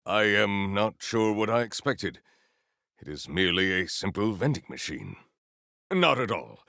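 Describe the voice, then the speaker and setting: A man playing a solemn, proud warrior hunter in a video game, speaking in formal, stilted, declamatory sentences with a gravelly low voice. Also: very animated, unhurried, pushed and bright, dry recording, studio quality, exaggerated voice. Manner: formal, stilted, declamatory speech without contractions. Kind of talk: video game character acting.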